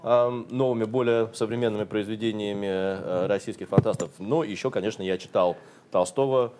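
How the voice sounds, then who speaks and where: A man's voice: on the low side, fairly even intonation, brisk, background noise. A man interpreting an interview consecutively, translating steadily with occasional hesitations.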